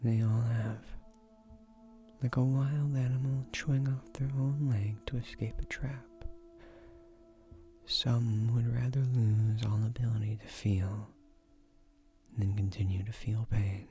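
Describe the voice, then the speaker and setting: Man voicing slow, gentle ancient tree guardian. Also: mid-range voice, little pitch movement, faint music behind, natural voice. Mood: gentle, consoling. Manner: measured, gently formal, soft-spoken. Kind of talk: slow soothing fantasy audio roleplay, scripted.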